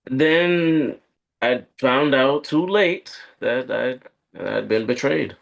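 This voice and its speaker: A man acting a guarded corporate operative on a date in a conversational script read, speaking in a halting, evasive way. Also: medium pitch, expressive, creaky, lo-fi sound. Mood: wistful, guarded. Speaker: actor at a live script read. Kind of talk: conversational screenplay table read.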